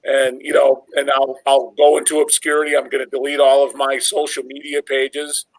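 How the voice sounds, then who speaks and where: A man's voice: medium pitch, flat delivery, normal speed, no echo, poor audio. A middle-aged man who hosts a channel, chatting casually about music in a rambling, repetitive way. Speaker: metal video channel host. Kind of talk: casual group chat about metal.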